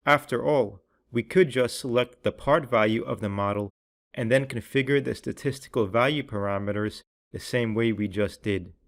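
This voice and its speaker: A man reading scripted tutorial narration in a measured, instructional way, with each phrase clearly enunciated. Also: mid-range voice.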